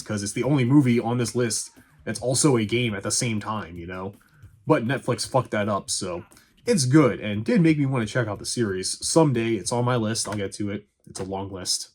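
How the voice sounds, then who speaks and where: Husky man giving blunt casual reviews. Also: medium pitch, expressive, brisk, a little mellow. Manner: blunt, sweary, wry asides. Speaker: video essay host reviewing movies. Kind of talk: casual, opinionated review commentary voice-over. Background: background music.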